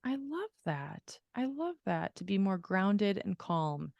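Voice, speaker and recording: A woman with a medium-pitched voice chats casually as a podcast co-host. She is affirming and effusive, drifting into giggly, self-deprecating tangents. The sound is dry, with very little room in it. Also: very animated, slow, vocal fry, dry recording, highs cut off. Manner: gushing, affirming. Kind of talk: casual podcast chat between friends.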